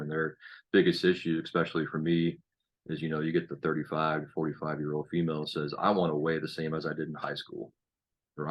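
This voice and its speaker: Deep raspy host guy, late 30s, laid back, lots of um and you know. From Kansas, USA. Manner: rambling, many fillers and restarts, laid-back. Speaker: podcast host. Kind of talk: casual podcast interview.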